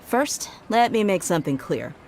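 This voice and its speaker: A woman playing a calm, measured desert guardian in video game voice acting. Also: medium pitch, a little hiss or hum.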